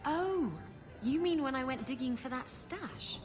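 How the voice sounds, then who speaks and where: An actress playing a confident, roguish treasure hunter in a video game, talking in a breezy, casual way. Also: female voice, high-pitched, animated, unhurried. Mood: defensive. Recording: music behind.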